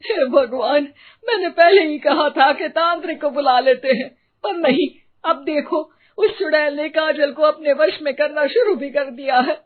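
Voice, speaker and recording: A woman voicing a superstitious, plaintive older mother-in-law in an animated moral story. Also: sits high, unhurried. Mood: worried. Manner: plaintive, insistent, dramatic. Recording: narrow, phone-like sound.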